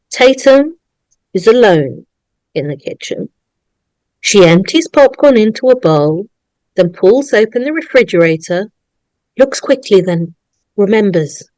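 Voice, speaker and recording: A woman's voice: mid-range voice, a little mellow, no echo. A woman narrating a script, reading screenplay stage directions aloud. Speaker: narrator of a staged table read.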